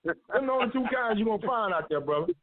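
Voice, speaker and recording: A man hosting a casual radio chat, talking in a loud, preachy, teasing way. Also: high voice, lively intonation, fast, no echo. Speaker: show host. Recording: dry, phone-like lo-fi line.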